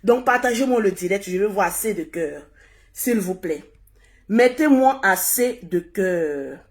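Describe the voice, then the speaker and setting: A woman hosting a casual live stream, hoarse and husky from a cold, repeating herself as she urges viewers to share. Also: mid-range voice, lively intonation, a little slow. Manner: repetitive, urging viewers to share. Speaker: livestream host.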